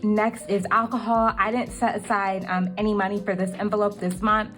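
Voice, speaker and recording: A woman's voice: medium pitch, level tone, normal speed, a bit bright, faint music behind. A young woman talking through her monthly budget in a casual vlog, chatty and full of ums, her voice a little cracked. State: slightly cracking voice. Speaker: budgeting vlogger.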